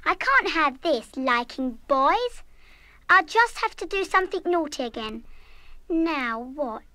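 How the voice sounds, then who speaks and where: A woman's voice: sits high, animated, measured pace, pushed and bright, no room sound, exaggerated voice. An actress playing a headstrong, mischievous English schoolgirl in a radio play, plucky and well-spoken. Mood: scheming. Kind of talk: full-cast children's radio play acting.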